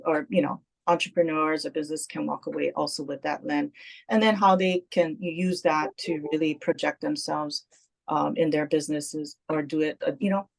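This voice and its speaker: A woman's voice: low-pitched, vocal fry. A woman who directs community programs, answering questions on a video call in a thoughtful way, with many fillers and restarts.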